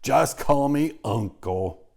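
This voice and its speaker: A man voicing a big, loud, boastful male pig who cracks jokes in a hearty voice. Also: mid-range voice, expressive, vocal fry, pitched above the performer's own voice. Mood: boisterous. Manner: loud, slow, jokey.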